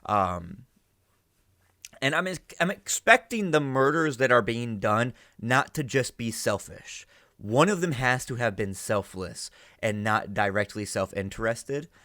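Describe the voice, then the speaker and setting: A man's voice: mid-range voice, animated, unhurried, sharp tone, dry recording, studio quality. A man hosting a reaction video with casual, rambling, informal commentary.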